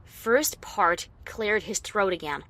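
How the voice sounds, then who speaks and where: Casting: actress as defiant pony adventurer telling her own tale, animated mid-range voice, wry and dramatic. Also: medium pitch, slow, dry recording, full character voice. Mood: wry, tense. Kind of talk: first-person story narration with acted dialogue.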